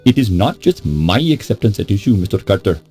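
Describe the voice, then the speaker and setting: An actor voicing a formal, polite but insistent Egyptian official in a video game. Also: male voice, lowish voice, sing-song, mellow, breathy edge. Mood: offended, veiled threat. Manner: courteous, formal, measured diplomacy. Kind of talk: theatrical video game voice acting. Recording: music under the voice. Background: synthesized game music.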